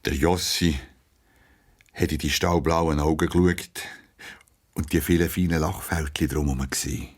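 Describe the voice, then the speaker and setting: A man's voice: very deep voice, fairly even intonation, moderate pace, pauses often, breathy edge. A man with a Swiss German accent narrating a scripted children's story as its storyteller. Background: music.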